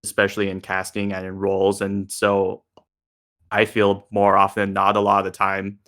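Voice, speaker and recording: A man's voice: low voice, monotone, normal speed, a bit bright. An American man interviewing in a casual, informal way, peppering his talk with filler words. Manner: informal, uses 'like' and 'kinda' often. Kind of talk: casual discussion.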